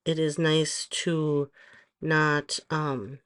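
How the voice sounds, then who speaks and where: A middle-aged woman with a hoarse voice, chatting casually through a nail tutorial and rambling with frequent pauses and ums. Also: low voice, flat delivery, fairly bright tone. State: hoarse from allergies. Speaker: nail stylist vlogger.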